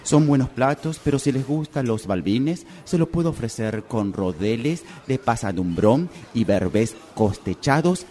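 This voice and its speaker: A man playing a formal, obliging, deadpan waiter in a comic radio play. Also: medium pitch, measured pace, few pauses, lightly acted. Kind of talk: comic radio theatre acting.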